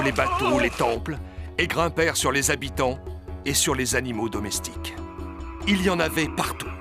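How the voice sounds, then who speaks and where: A man reading scripted narration of a biblical story as narrator. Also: on the high side. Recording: music under the voice.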